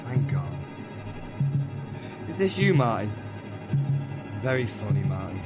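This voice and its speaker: A man's voice: lowish voice, sing-song, unhurried, loud music behind the voice. An actor playing a guilt-ridden, sleepless young man in an audio horror drama. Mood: sarcastic.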